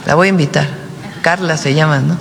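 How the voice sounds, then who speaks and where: A man speaking at a press conference in a measured, folksy manner. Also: on the high side, steady tone, vocal fry, a crowd in the background. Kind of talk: public address.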